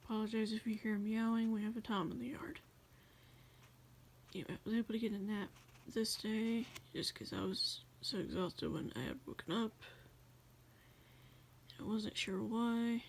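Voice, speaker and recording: A woman casually reading her journal aloud as a voice-over, in a rambling, halting way. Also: on the high side, fairly even intonation, vocal fry, a little hiss or hum. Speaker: journal flip-through video creator.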